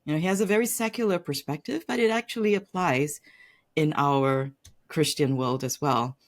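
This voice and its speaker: A woman's voice: low-pitched, moderate pace. A woman from Singapore, a guest on a podcast, telling her career story in a reflective, fluent way, often adding "right?" and "you know". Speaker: tech executive. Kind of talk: casual interview storytelling.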